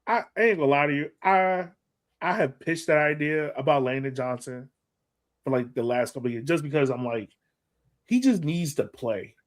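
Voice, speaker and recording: A man's voice: a little high, moderate pace. A man hosting a casual soccer podcast, chatting in a slangy, informal way. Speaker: podcast host.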